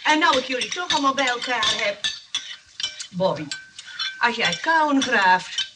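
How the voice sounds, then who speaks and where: Radio comedy: motherly matron, lively swooping intonation, bossy yet kind, distant noisy sound. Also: a little high, measured pace. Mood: bossy scolding. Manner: cheerfully defensive, motherly scolding. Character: kind but bossy nurse running a lively rest home. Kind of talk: Dutch radio comedy drama acting.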